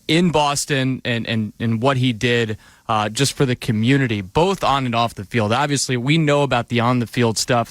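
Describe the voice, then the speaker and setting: A man hosting a radio pregame show from the studio, moving from somber remarks on sad news to brisk sponsor reads. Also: medium pitch, a bit bright, dry recording. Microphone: studio microphone.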